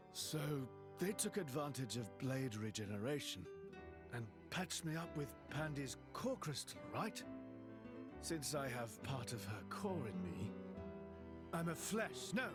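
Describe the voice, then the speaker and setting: A man's voice: medium pitch, very animated, measured pace, over loud music. A man playing an affable, easygoing adventurer in a video game cutscene. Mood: casual.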